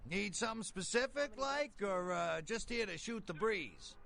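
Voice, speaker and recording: A man's voice: sits high, lively intonation, normal speed, gravelly, crowd noise. An actor playing an easygoing, slick bail bondsman in a video game, with folksy, slangy salesman patter. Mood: breezy, friendly. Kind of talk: video game character acting.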